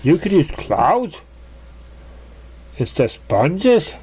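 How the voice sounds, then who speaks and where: A reader acting out a fashionable lady unicorn from a cartoon fan story. She is refined and effusive, stretching her words slowly in a genteel way. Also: mid-range voice, sing-song, darkish tone, slight background noise, voice pushed up. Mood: delighted. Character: Rarity, refined fashionable gushing female unicorn.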